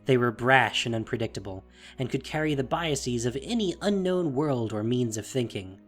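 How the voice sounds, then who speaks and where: A man's voice: mid-range voice, animated, moderate pace, faint music behind, close to an everyday voice. A man narrating a fantasy audio drama in a dramatic, scripted style.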